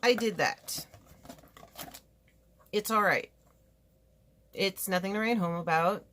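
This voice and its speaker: A woman's voice: medium pitch, takes it slowly, fairly bright tone. A woman from California talking frankly and rambling through a casual makeup review in her home studio. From California, USA. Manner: chatty, frank and rambling, with asides. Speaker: beauty vlogger reviewing makeup. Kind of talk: casual product review chat.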